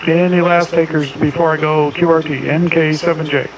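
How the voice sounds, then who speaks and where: A man's voice: a little high, flat delivery, moderate pace. A middle-aged man working an amateur radio contest, rattling off clipped exchanges and spelling in phonetics. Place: small home radio room indoors. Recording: clear background noise.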